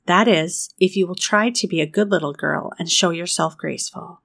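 A woman voicing a stern, practical older woman guardian, brisk and matter-of-fact. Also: lowish voice, gravelly, fairly bright tone.

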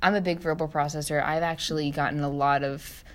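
A woman's voice: low-pitched, fairly even intonation, a little fast. A woman co-hosting a podcast in casual, rambling chat, laughing often. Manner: chatty, giggly, rambling. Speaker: podcast co-host.

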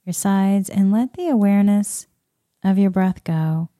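A woman guiding a meditation in a soft, soothing way, with long pauses. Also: mid-range voice, no room sound, studio quality. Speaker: meditation guide. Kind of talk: guided meditation.

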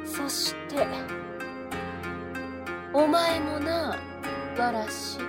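A woman's voice: sits high, extremely slow, loud music behind the voice. An actress playing a sinister, seductive woman agent in a period audio drama, taunting in courtly archaic phrasing. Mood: cold menace. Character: sinister seductive noblewoman agent, archaic speech, mocking, menacing.

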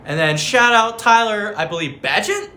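A man's voice: high voice, lively intonation, moderate pace, sharp tone. A man co-hosting a casual fantasy football podcast, joking and trash-talking in slangy banter. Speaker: podcast co-host.

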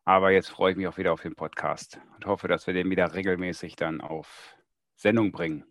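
A man's voice: deep voice, steady tone, brisk, a bit bright, no echo. A man co-hosting a podcast in casual chat, with a dry, joking manner. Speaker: podcast co-host.

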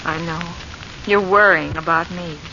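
An actress playing a sweet, affectionate, caring wife in an old radio drama. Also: female voice, lowish voice, fairly even intonation, a little slow, bright, forceful tone. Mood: fond, cheerful. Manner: affectionate, chatty. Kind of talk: 1940s radio drama acting. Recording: dull, hissy old radio recording.

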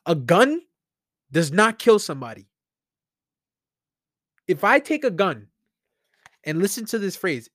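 A man's voice: fairly high pitch, fairly bright tone, no echo, clean studio sound. Rambling solo podcast host, man. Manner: rambling, conversational, thinks aloud. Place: alone in his room. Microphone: studio mic with headphones.

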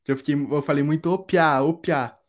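A woman's voice: low voice, fairly even intonation, fairly quick, muffled recording. A young woman with a southern Brazilian accent from Curitiba, chatting casually in a slangy, anecdotal way. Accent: Curitiba, southern Brazilian Portuguese. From Curitiba, Paraná, Brazil. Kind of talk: casual chat.

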